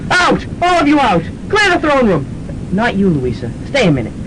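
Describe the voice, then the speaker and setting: A man's voice: huge pitch swings, normal speed, exaggerated voice. A young man playing an indignant, bossy new king in a campus radio comedy. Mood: commanding. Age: young adult. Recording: noisy rumbling student radio recording.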